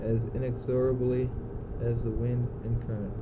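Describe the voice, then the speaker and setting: A man's voice: mid-range voice, little pitch movement, moderate pace, close to an everyday voice. A teenage male student acting an anguished, philosophical courtier facing death in an amateur radio play, speaking in earnest declamation. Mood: resigned. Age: teens. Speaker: student actor. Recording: muffled, noisy old amateur recording.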